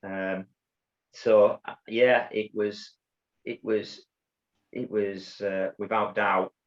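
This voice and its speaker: Low-voiced Yorkshire man, halting storyteller. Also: flat delivery. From Bradford, England. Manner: halting, many repeated words and restarts. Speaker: guest, former undercover police officer. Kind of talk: casual storytelling interview. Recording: dull lo-fi audio.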